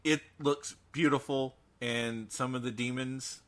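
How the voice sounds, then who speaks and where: A man's voice: mid-range voice, fairly even intonation, a little slow, a bit bright. A man co-hosting a podcast, chatting casually through horror news in a theatrical, jokey and emphatic way. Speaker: podcast co-host.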